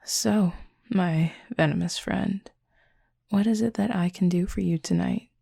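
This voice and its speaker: Woman as a husky, gravelly, flirty werewolf. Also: fairly low pitch, unhurried, dark tone, no room sound, studio quality, heavily acted. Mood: teasing, flirty. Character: flirty, teasing werewolf pack leader, confident and protective. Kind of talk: intimate audio roleplay.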